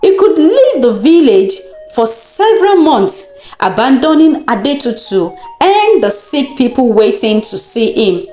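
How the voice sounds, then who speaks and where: A Nigerian woman tells a folktale in a fairly high, very animated voice. She takes her time, shaping the narration with deliberate, dramatic expression. The sound is echoey, with music underneath. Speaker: storyteller. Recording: roomy echo with music underneath.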